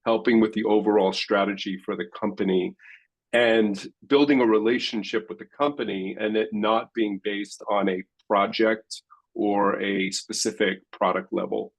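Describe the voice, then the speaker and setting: Man, 40s, founder panelist; low voice, measured delivery. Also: fairly even intonation, poor audio. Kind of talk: conference panel talk. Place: conference stage.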